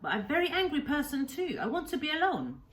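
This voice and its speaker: Woman voicing an old Japanese hermit, halting speech. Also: high voice, huge pitch swings, a bit bright, voice pushed up, heavily acted. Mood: angry, pained. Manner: halting broken English in short simple sentences. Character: angry, sorrowful, gentle old Japanese island hermit. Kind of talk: storybook read-aloud character.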